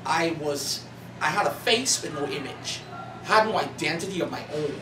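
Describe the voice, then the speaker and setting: A man in his thirties from the Bahamas, an author and pastor telling his life story in earnest, building to animated emphasis at a cafe event. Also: a little high, normal speed. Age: 30s. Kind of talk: personal testimony at a bookstore event. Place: coffee cafe in a shopping mall. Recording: roomy, distant, noisy cafe recording.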